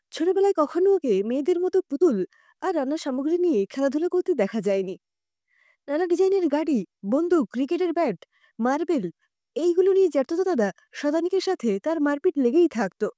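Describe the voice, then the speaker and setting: High-pitched, lively female storyteller. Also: a little fast, no room sound, studio quality. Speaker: audio story narrator. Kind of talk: expressive scripted storytelling.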